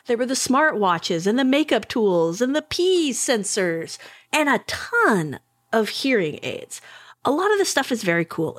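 A woman hosting a tech podcast, playful and enthusiastic, tossing in quick jokes. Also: on the high side, dry recording. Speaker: podcast host.

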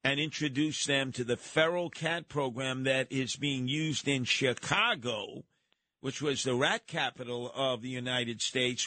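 Bright, forceful New York radio host. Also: male voice, mid-range voice, no room sound. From New York City. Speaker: radio talk show host. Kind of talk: live call-in talk radio.

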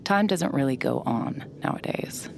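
A woman's voice: very deep voice, lively intonation, normal speed, vocal fry, a crowd in the background, exaggerated voice. An actress playing a blunt, cynical young woman who reads minds, with dry sardonic inner monologue. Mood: brooding. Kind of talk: audio drama acting with inner monologue.

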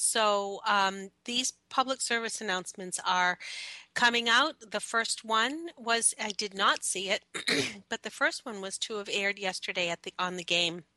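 A woman co-hosting a talk radio show, slightly raspy and hoarse from a cold, chatting casually with many ums and restarts. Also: mid-range voice, bright, forceful tone, no echo, low-quality recording. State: hoarse from the tail end of a cold.

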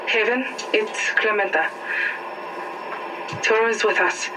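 A troubled woman on an urgent voicemail in an audio drama. She speaks slowly. The playback is distant and noisy, as from a phone speaker. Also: medium pitch. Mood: urgent, grave.

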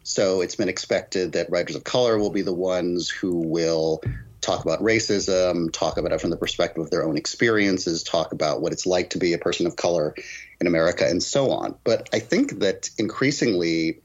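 Deep, husky man as interview guest. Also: moderate pace. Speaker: guest, professor and poet. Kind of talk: thoughtful interview discussion. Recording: slightly dull, lo-fi audio.

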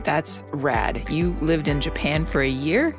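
A woman's voice: low voice, unhurried, over music. A woman interviewing a guest in a casual, upbeat and encouraging way. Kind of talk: conversational interview.

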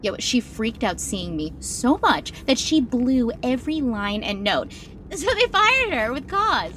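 A self-absorbed female stage star in an audio drama. Her fairly high voice swoops with theatrical sarcasm and gossipy cattiness. A faint rumble runs behind her. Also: very animated, a little slow, a bit bright. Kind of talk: modern audio drama acting.

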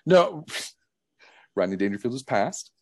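A man's voice: medium pitch, big pitch swings, takes it slowly, husky, no echo, clean studio sound. A man in his thirties hosting a podcast, playful and teasing, laughing often. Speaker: podcast host. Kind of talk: casual chat.

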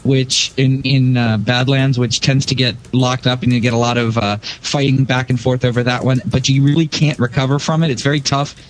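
A man's voice: medium pitch, level tone, normal speed, few pauses, a little hiss or hum, low-quality recording. A man speaking as a podcast guest, casually analysing game play in a conversational way.

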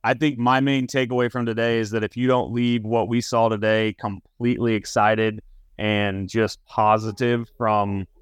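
A man's voice: fairly low pitch, little pitch movement, normal speed, clean tone, no room sound, studio quality. A man hosting a casual sports talk show, conversational and emphatic with his opinions. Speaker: sports podcast host.

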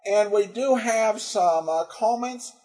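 High-voiced male podcast host. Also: a little mellow. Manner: halting, searching for words. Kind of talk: informal episode commentary.

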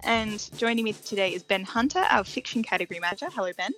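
A woman's voice: mid-range voice, quick pace, fairly bright tone, faint music behind, low-quality recording. A woman hosting a podcast, welcoming guests and asking questions conversationally. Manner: conversational, some fillers. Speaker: podcast host.

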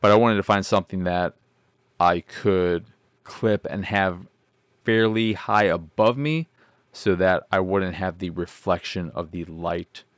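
Deep-voiced man rambling casually. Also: measured pace, no echo, clean studio sound. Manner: rambling, self-deprecating, swears casually. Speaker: podcast host. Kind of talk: casual solo podcast chat.

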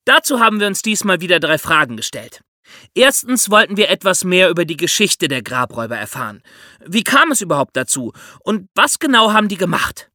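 A man's voice: high-pitched, very animated, brisk, sharp tone, no echo, studio quality. A young man co-hosting a podcast, narrating and explaining from a script for young listeners in a precise way. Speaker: podcast co-host. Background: music.

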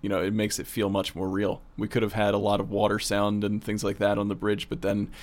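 A man with a fairly low voice chats as an audio producer. His delivery is conversational and informal, suited to a behind-the-scenes discussion. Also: monotone, fairly quick.

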